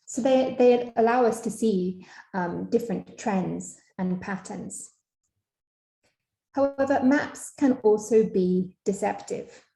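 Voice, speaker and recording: Scottish woman lecturing online, gravelly low-key voice, lots of ums, echoey room. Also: dark tone. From Scotland. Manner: measured, frequent ums. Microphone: video call.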